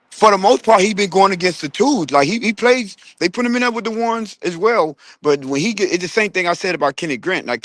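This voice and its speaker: A man's voice: fairly high pitch, a bit bright, no room sound. A man hosting a casual online sports chat who rambles with many restarts and asks his co-hosts questions. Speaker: podcast host. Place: online audio room.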